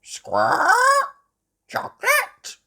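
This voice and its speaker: A woman voicing a small, excitable female storybook parrot that squawks loudly and copies words. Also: very high voice, extremely slow, vocal fry, pitched above the performer's own voice, heavily acted. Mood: excitable squawking. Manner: copying words in loud bursts.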